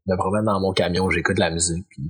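Husky Quebec French man, halting. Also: sits low, steady tone. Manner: halting, repeats words. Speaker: podcast guest. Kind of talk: casual interview answers.

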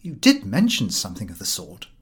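A calm, sensible wife from a humorous storybook, put on by a male narrator. She speaks in a composed, slightly dry way, with very animated pitch. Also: brisk, exaggerated voice. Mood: politely curious.

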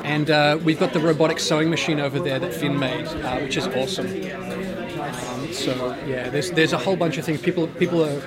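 A man's voice: on the high side, steady tone, moderate pace. A man with a slightly worn voice, chatting enthusiastically about his project in an interview at a busy fair. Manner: chatty, enthusiastic, many restarts. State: voice getting worn. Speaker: maker showing his project. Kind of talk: casual interview at an event. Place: busy indoor fair hall. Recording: loud crowd noise behind. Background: loud crowd chatter.

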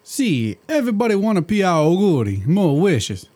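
Man voicing a lively fatherly Italian genie chef, comic audio drama, slightly high. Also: normal speed. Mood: jovial. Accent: put-on Italian. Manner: warm broken English, fatherly. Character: jolly Italian male genie cook. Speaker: voice actor.

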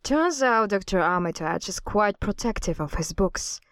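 An actress playing a defiant, knowing daughter of a villain in a horror audio drama, with a pointed, assured delivery. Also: female voice, mid-range voice, expressive, moderate pace, a bit bright, dry recording, clean studio sound, lightly acted. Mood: smug, defiant. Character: defiant, knowing woman, cult leader's estranged daughter.

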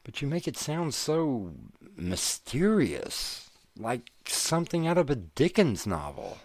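A man's voice: medium pitch, very animated, slow pace, a little mellow, dry recording, full character voice. An actor playing an earnest young man, pleading with his fiancée and growing exasperated. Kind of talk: audio drama acting.

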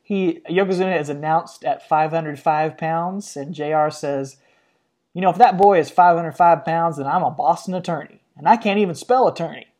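A man's voice: on the high side, moderate pace, darkish tone. A man co-hosting a podcast, casually recapping old matches with light laughs. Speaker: podcast co-host.

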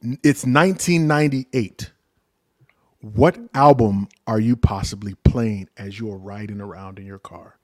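A man's voice: lowish voice, a little slow, no echo. A man in his forties hosting a casual podcast from home, bantering with playful, profane mock outrage. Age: 40s. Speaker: podcast host.

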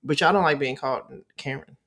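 A man's voice: on the high side, a little fast, a bit bright. A man co-hosting a casual podcast chat, talking in a blunt, dry and unbothered way.

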